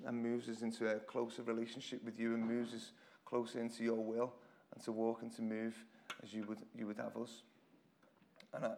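Man, hoarse croaky voice, casual chatty sermon, repeats himself, church hall. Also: mid-range voice, darkish tone, a little hiss or hum. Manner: conversational, self-deprecating, repeats phrases. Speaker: preacher.

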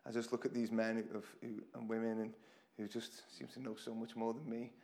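A man with a hoarse, croaky voice preaching an informal church sermon to a congregation, talking in a chatty way and often repeating his words. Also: on the low side, flat delivery, brisk, a little mellow. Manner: conversational, self-deprecating, repeats phrases.

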